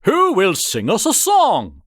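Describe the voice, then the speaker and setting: A man voicing hearty medieval woodmen in a storybook, calling out loud and jolly. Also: high-pitched, wildly swooping pitch, slow pace, no echo, studio quality, voice pushed up, exaggerated voice. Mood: jolly, rallying. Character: hearty, boisterous medieval woodman and kitchen men.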